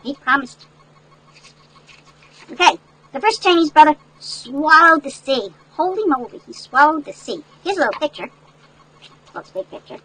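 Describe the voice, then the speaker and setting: An older woman reading a picture book aloud to children in an animated, folksy way, with chatty asides that explain things. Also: high voice, normal speed, pauses often, rough recording. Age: older, great-grandmother. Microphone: headset.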